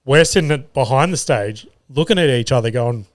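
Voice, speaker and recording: A young man rambles through stories on his podcast, swearing freely and chuckling at his own punchlines. His voice sits at an everyday middle pitch, recorded in a studio. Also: lively intonation, brisk. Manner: loose and sweary, laughs at his own jokes. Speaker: podcast host and comedian. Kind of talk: casual solo podcast storytelling.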